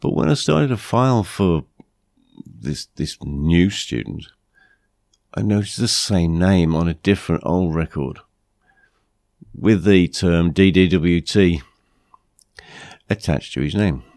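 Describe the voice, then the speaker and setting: A British man hosting a podcast with a blunt, plain-spoken manner, his voice croaky from flu. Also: sits low, animated, slow, long pauses, darkish tone, no echo, clean studio sound. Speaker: podcast host. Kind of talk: podcast monologue, anecdotes and advice.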